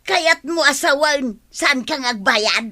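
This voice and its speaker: A woman's voice: high-pitched, animated, slow pace, pushed and bright, full character voice. A woman playing a nosy, opinionated neighbour in an Ilocano radio comedy drama, with an Ilocano accent and exclamatory questioning. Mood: curious, surprised.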